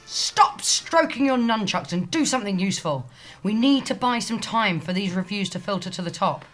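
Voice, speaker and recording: A woman's voice: mid-range voice, animated, normal speed, fairly bright tone, faint music behind, natural voice. An actress playing a sardonic, surly female office slacker, dry and sarcastic, in a comedy audio drama.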